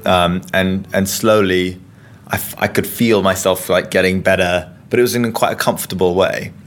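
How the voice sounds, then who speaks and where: A young man who sings in an opera studio, speaking in an interview in a hesitant, halting way. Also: low-pitched, fairly even intonation. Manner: hesitant, halting, many fillers. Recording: faint background noise.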